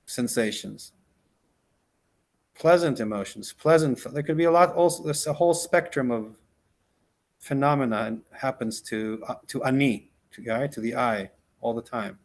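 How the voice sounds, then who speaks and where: A rabbi whose first language is Hebrew, teaching a casual class and then leading a slow, gentle guided meditation, full of restarts and "right?" checks. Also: male voice, medium pitch. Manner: halting, many restarts and "like", "right?".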